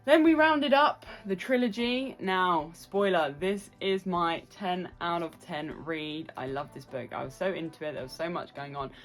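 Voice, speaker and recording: A woman with a fairly deep voice talks casually to camera like a review vlogger. She is emphatic and effusive, repeating little phrases to drive a point home. Soft music plays faintly in the background. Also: normal speed, few pauses.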